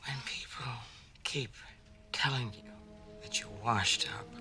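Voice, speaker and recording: Actress doing a wounded middle aged american stage star, big dramatic pitch swings, theatrical n proud, film music behind. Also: female voice, big pitch swings, normal speed, close to an everyday voice. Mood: hushed, reflective. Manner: theatrical, emphatic, emotional. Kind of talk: dramatic screen acting.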